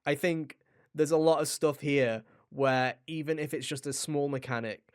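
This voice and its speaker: A man's voice: fairly high pitch, steady tone, moderate pace, clean tone, no echo, clean studio sound. A man co-hosting a video game podcast, chatting in an informal, rambling and jokey way. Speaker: podcast co-host reviewing video games. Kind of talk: casual chat.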